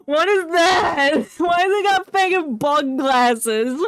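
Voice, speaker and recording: A woman's voice: high-pitched, takes it slowly, a bit bright. A woman streaming a game with casual, joking, giggly commentary and plenty of swearing.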